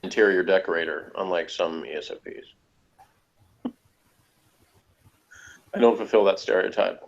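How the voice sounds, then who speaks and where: Low-voiced American man, wry, dull lo-fi sound. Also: measured pace, gravelly. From United States. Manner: wry, joking. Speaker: co-host of a TV review show. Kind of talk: casual jokey review chat.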